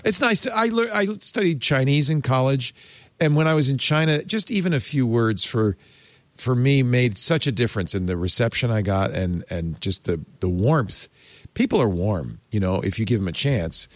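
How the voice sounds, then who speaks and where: Lively older man, casual host chatter. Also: medium pitch, no room sound. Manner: animated, chatty, quick to laugh. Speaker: podcast host. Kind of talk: casual chat.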